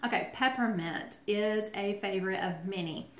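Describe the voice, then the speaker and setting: A woman's voice: medium pitch, moderate pace, a bit bright, roomy sound. A woman giving a casual how-to talk in a chatty, friendly way, with lots of ums and restarts. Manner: chatty, friendly, filler-heavy explaining. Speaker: essential oils presenter.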